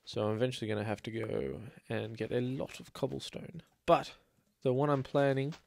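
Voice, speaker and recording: A man's voice: mid-range voice, normal speed, gravelly. A man with a slight cold, chatting casually and rambling as he plays a building game. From Australia. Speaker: let's play host. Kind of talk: casual gameplay commentary.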